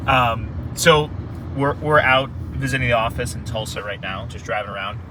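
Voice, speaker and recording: A man hosting a relaxed interview from inside a moving car and asking short follow-up questions. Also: mid-range voice, moderate pace. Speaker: host. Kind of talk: casual interview. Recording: car recording with loud road noise. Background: loud road noise.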